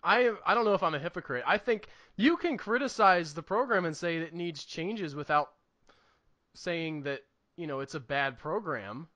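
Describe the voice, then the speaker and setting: A man's voice: on the high side, expressive, normal speed, pushed and bright. A man co-hosting a podcast, giving his opinions in an informal, casual chat. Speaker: podcast co-host.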